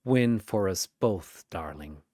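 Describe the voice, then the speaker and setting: A man's voice: low voice, animated, slow pace, warm tone, no room sound, clean studio sound, voice pushed down, full character voice. A man voicing a suave, flirtatious male vampire with a tender side in a fantasy battle story. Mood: soft, tender. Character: suave teasing centuries-old male vampire, secretly tender.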